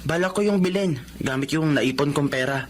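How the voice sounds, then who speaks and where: An actor playing a hardworking, respectful young husband with a Filipino accent in a radio drama, sounding earnest and hopeful. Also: male voice, on the high side, a little fast, a little hiss or hum, close to an everyday voice.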